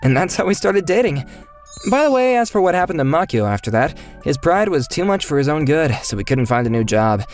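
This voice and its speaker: Male storyteller for scripted first-person narration, fairly high voice, lively intonation, music underneath. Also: brisk.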